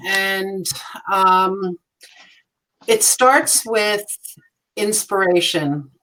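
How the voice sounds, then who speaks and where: A woman on a panel over a video call, answering in a meandering, hesitant way with frequent pauses. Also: mid-range voice, steady tone, pushed and bright, poor audio. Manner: meandering, hesitant, many ums. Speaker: mosaic artist and panelist. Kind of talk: casual panel talk.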